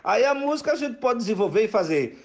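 Male songwriter, lively anecdotal delivery, voice sitting a bit high. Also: fast. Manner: chatty, animated, with warm praise for collaborators. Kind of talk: casual storytelling.

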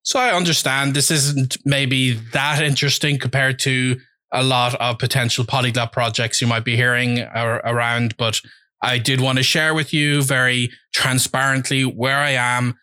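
A man talks through a personal update in a bright, forceful voice. He is candid and reflective and pauses often. The sound is close and dry, with no room around it. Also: medium pitch, steady tone, dry recording. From Ireland. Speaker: language-learning vlogger. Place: home.

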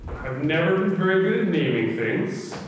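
A man's voice: on the high side, lively intonation, normal speed, a little hiss or hum. A man giving casual, reflective answers as an interview guest. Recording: echoey hall, distant, faint music.

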